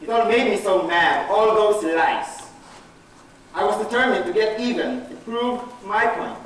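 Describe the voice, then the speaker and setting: An actor playing a bitter, guilt-ridden betrayer in a dramatic stage monologue, swinging from muttering to anguished outbursts. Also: male voice, high voice, a little slow, fairly bright tone. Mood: scornful, angry. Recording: very echoey hall from far off, faint noise.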